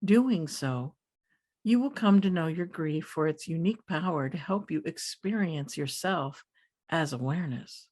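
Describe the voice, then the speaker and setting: A woman leading a guided meditation as a teacher, speaking calmly in deliberate phrases with pauses. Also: deep voice.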